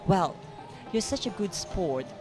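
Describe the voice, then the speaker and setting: A woman's voice: on the low side, animated, takes it slowly. A woman interviewer asking light, teasing questions with a giggly manner. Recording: music playing behind.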